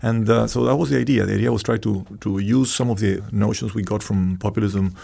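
Gravelly, husky Argentine man explaining. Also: fairly low pitch, steady tone, a little fast, warm tone. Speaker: economics professor, interview guest. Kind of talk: explanatory interview talk.

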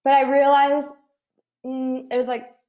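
A woman's voice: high-pitched, flat delivery, measured pace, warm tone. A woman in her twenties talking candidly in casual chat, with many "like" fillers. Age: 20s.

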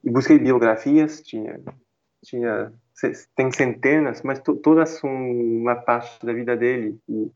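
A man's voice: mid-range voice, measured pace, a little mellow, highs cut off. A French man speaking Portuguese as a second language, a guest chatting in an interview, hesitant with many restarts and fillers. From France. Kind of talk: casual interview chat.

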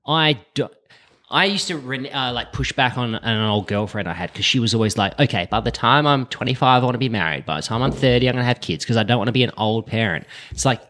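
A man in his late thirties talks in a loose, casual conversation. His voice sits at an ordinary pitch, and his manner is blunt and joking, with plenty of swearing. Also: expressive, quick pace, bright, forceful tone. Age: late 30s. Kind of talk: casual chat.

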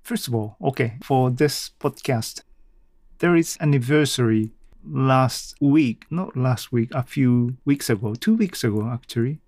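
A man's voice: mid-range voice, darkish tone. A man hosting a casual solo podcast, speaking hesitantly and often correcting himself. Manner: hesitant, halting, self-correcting. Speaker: podcast host.

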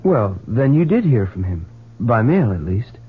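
Husky, sardonic young scientist. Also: male voice, lowish voice, very animated, a little slow, full character voice. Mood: guarded. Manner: sarcastic, defiant, flippant. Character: bitter, sardonic grown son, young male scientist. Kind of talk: radio drama acting. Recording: muffled, lo-fi old radio recording.

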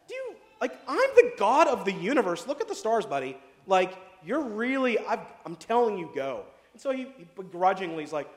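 A pastor preaching a casual sermon, chatty and jokey, full of asides and questions to the congregation. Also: male voice, sits high. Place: church sanctuary. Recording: echoey hall with long reverb.

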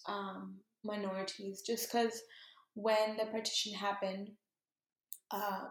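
A woman's voice: medium pitch, little pitch movement, slow. A young woman from Pakistan, a native Urdu speaker, talking casually about her home with many ums and likes and light laughs. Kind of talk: casual explanation, personal talk. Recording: echoey room.

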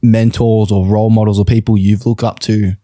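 A man's voice: on the low side, level tone, fairly quick, warm tone. A man asking questions as a podcast interviewer in a casual, easygoing and straight-faced way.

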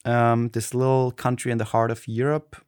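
A man's voice: mid-range voice, level tone, normal speed, a little mellow, smooth, no room sound, studio quality. A man from Austria with an Austrian German accent, co-hosting a casual podcast chat and often restarting as he searches for words. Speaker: podcast co-host.

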